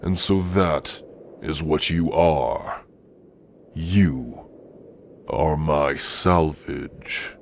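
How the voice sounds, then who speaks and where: A man voicing a slow, low, menacing orc warrior. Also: creaky, a little hiss or hum, full character voice. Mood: stern, menacing. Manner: deliberate, domineering, menacing. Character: grim, menacing male orc warrior of frozen mountains. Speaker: ASMR roleplay performer. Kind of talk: slow, scripted ASMR roleplay monologue addressed to listener.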